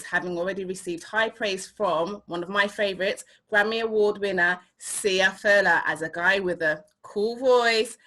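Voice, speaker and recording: A woman's voice: medium pitch, fairly bright tone. A woman hosting a casual, chatty arts interview, gushing with praise as she talks. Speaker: show host.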